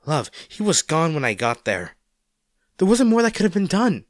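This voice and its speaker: A man's voice: on the high side, huge pitch swings, normal speed, dry recording, clean studio sound. A man acting a caring, worried boyfriend in an intimate audio roleplay, speaking tenderly and coaxingly to his injured partner. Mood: hurt, frustrated. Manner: tender, coaxing, hesitant, speaking directly to the listener.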